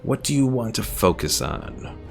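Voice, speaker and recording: Low, gravelly-voiced man streaming a game. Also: a little slow, soft background music. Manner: relaxed, explains game mechanics with fillers. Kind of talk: casual gameplay commentary answering chat.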